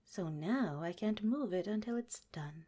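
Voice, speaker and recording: A woman voicing a gentle, caring female head scientist of a secret underground lab, speaking in a soft, slow whisper. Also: medium pitch, animated, breathy edge. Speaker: ASMR roleplay performer. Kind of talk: ASMR roleplay.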